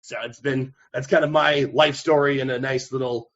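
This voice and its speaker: A man talking as a podcast guest, energetic and rambling, often restarting his sentences. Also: medium pitch, moderate pace. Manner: energetic, rambling, self-correcting. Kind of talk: casual interview chat. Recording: dull lo-fi sound.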